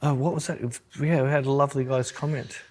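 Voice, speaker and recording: A man's voice: mid-range voice, little pitch movement, fast, darkish tone. A man in his sixties co-hosting a podcast, chatting casually with dry, teasing banter. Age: 60s. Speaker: podcast co-host. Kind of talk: casual chat.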